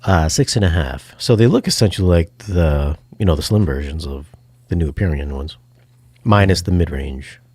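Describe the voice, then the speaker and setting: A man's voice: extremely low pitch, animated, moderate pace, a little mellow, breathy edge, no room sound. A man hosting a casual chat about audio gear, talking informally and thinking aloud. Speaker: host and speaker reviewer.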